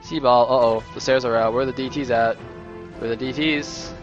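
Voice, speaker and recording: A hoarse young man doing solo play-by-play commentary on a game, chatty and rambling, jumping into excited bursts. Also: mid-range voice, a little fast, dull audio. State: losing his voice, a little sick. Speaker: esports caster. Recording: music playing underneath.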